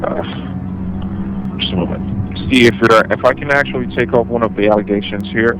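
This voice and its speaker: A man acting as a debt collection manager on the phone, explaining formally in a halting, wordy way. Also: fairly low pitch, background noise. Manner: halting, wordy, repeats himself. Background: music.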